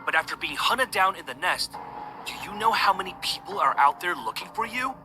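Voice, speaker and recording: High-voiced cheeky young henchman. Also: animated, fairly quick. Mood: teasing, menacing. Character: playful young henchman twin guarding a captive, cheeky. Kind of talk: video game voice acting. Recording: game dialogue with music behind. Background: music.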